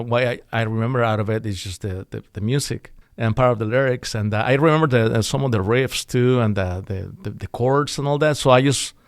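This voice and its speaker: A man's voice: mid-range voice, no echo, clean studio sound. A middle-aged man, a musician chatting as a guest, talking in a loose, rambling way full of fillers and restarts. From Mexico City. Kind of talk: casual interview chat.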